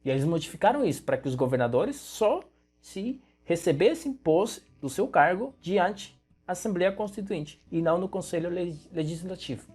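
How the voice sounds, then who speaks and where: A young man with a Venezuelan Spanish accent gives political commentary in a somewhat husky voice. He is a native Spanish speaker who talks in an emphatic, explanatory way, straight to his viewers. Soft guitar music plays faintly behind him. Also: high voice, animated, moderate pace.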